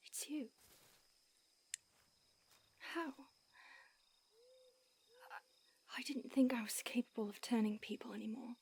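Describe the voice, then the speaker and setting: A woman's voice: on the high side, slow, long pauses, full character voice. A woman voicing an ancient whispering vampire. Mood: remorseful, tender. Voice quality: breathy. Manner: hushed whispering, hesitant restarts. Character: ancient remorseful female vampire, mentor to her new fledgling. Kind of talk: audio roleplay.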